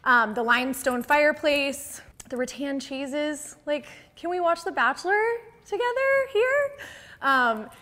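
A woman's voice: high voice, animated, a little slow, bright, forceful tone. An American woman in her thirties giving a casual, enthusiastic home tour as an interior designer, speaking conversationally into a lapel mic. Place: large open-plan house interior with hard floors. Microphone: clip-on lapel mic.

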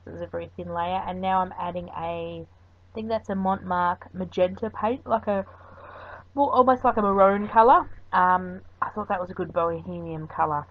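Woman, lowish voice, rambling craft narration, lots of ums, dull lo-fi sound. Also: normal speed. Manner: chatty and rambling, with frequent ums. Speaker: craft video host. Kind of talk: voice-over craft tutorial narration. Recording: dull lo-fi recording.

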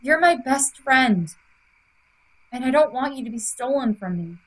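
Young woman, acted, devoted sentimental friend, high voice, slow pace, audio drama, music bed. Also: animated, rough recording. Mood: heartfelt. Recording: music under the voice.

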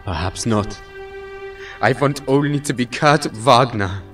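An actor playing a frightened, bewildered young mutant outcast in an audio drama, with halting, emotional delivery. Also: male voice, medium pitch, fairly bright tone, distant mic, faint music behind, lightly acted. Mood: wary, hopeful.

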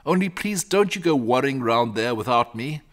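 A man voicing a calm, bookish young boy in a storybook, matter-of-fact, patient and slightly superior. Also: medium pitch, moderate pace, pitched above the performer's own voice, lightly acted. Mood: calm, assured. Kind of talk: storybook character reading.

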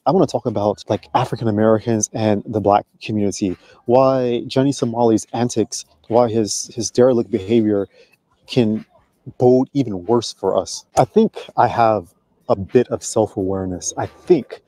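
A middle-aged man walking and vlogging outdoors in a park, speaking to a handheld camera in a measured, deliberate way. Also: lowish voice, mellow, no room sound. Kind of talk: vlog commentary.

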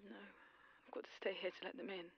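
An actress playing a dazed, distraught British widow in an audio drama, rambling and halting as she speaks. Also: medium pitch, little pitch movement, creaky, slightly rough, no room sound, highs cut off. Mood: dazed, tearful. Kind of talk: naturalistic audio drama acting.

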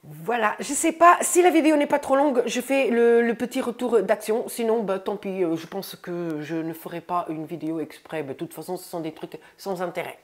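A woman in her thirties with a stuffy nose from a cold, chatting casually to her viewers about her groceries in a bright room, recorded on a lapel mic. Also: medium pitch, brisk, hardly any pauses. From France. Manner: chatty, says "les amis" often, upbeat. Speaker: vlogger. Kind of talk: casual grocery haul vlog. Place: bright room indoors by a window.

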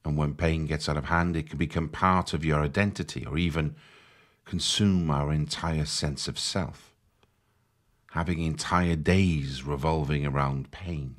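A man with a low, deep voice leads a guided meditation. He speaks in a measured, soothing way, giving gentle instructions as a guide would. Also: fairly even intonation.

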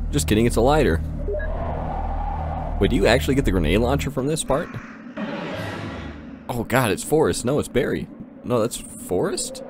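A man giving casual, joking gameplay commentary in a slangy, sweary way, as host of a gaming video. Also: mid-range voice.

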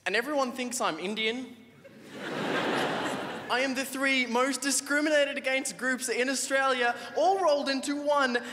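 A man's voice: very high voice, moderate pace. A young man from Egypt doing a stand-up comedy set on a large theatre stage, playful and self-mocking, on a handheld mic. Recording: live hall with crowd noise.

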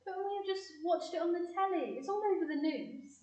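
Woman acting an anxious, high-voiced Englishwoman. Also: brisk, mellow, far from the mic. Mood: worried. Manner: worried, questioning. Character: anxious, fretful, earnest woman of the family. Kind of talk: community audio play. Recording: muffled echoey room, away from mic.

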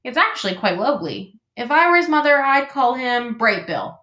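A woman's voice: high voice, very animated, normal speed, pushed and bright, voice pushed up, heavily acted. Woman voicing a fussy, bossy mother goose. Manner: fussy, blunt, chatty. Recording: roomy echo.

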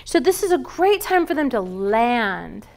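High-voiced woman teaching yoga trainees. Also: a little slow, gravelly. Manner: lively, quizzes students with questions. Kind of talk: teaching a live training class. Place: large wooden-floored yoga studio. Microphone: far camera.

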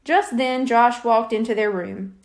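A woman reading a children's storybook aloud in an expressive narrating style. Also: on the high side, unhurried. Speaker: audiobook reader.

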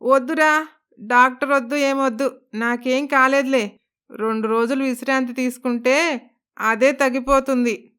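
A woman's voice: high voice, steady tone, unhurried, long pauses, a bit bright, clear, resonant tone. A woman voicing a stingy, stubborn middle-aged mother-in-law in a cartoon moral story, blunt and scolding in manner. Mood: pained, wailing. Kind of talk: Telugu animated moral story voice acting.

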